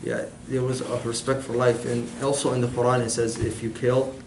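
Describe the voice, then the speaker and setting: A man delivers a prepared class presentation, hesitating often and filling gaps with "um." His delivery is flat, with little rise or fall in pitch. The room is echoey and has a faint hiss of background noise. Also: fairly quick. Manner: hesitant, many "um" fillers. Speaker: student presenter.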